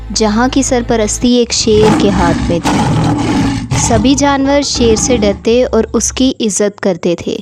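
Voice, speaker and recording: A woman's voice: a little high, darkish tone. A woman narrating a scripted story for children.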